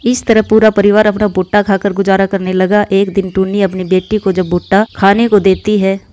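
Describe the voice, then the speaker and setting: A woman's voice: medium pitch, level tone, normal speed, a little mellow. A woman narrator reading a scripted moral story for children.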